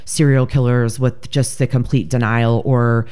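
Woman podcast co-host, deep mellow voice, blunt and swears freely. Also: little pitch movement, unhurried, clear, resonant tone. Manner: blunt, profane, joking. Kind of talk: casual true-crime chat.

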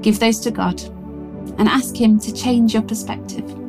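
A woman's voice: fairly high pitch, steady tone. Woman reading a reflective devotional over music. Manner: measured, reflective, reverent delivery. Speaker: devotional reader. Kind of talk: scripted devotional narration. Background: music behind the voice.

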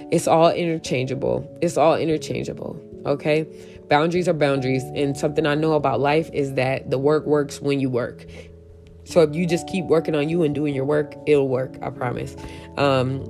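Raspy low-voiced woman hosting a podcast, repeats herself for emphasis, soft guitar behind. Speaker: podcast host. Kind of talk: casual solo podcast talk.

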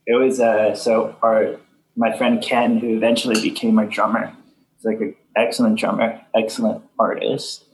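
A young man from Canada, a band member answering interview questions in soft, halting casual talk full of fillers. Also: fairly low pitch, level tone, slight background noise. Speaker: band leader, interview guest. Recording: echoey room.

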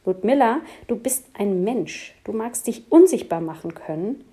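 A woman voicing a calm, protective young male shapeshifter in a storybook, speaking urgently and hushed. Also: medium pitch, measured pace, lightly acted.

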